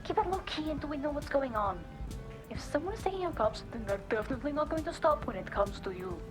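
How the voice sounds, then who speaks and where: An actress playing the brisk, teasing and familiar ally of an investigator in a cyberpunk audio drama. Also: female voice, high voice, expressive. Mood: brisk, cautioning. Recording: music behind the voice.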